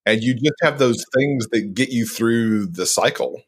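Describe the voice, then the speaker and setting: A man's voice: medium pitch, a little slow, slightly rough. A man speaking as a podcaster guest in a casual, conversational interview.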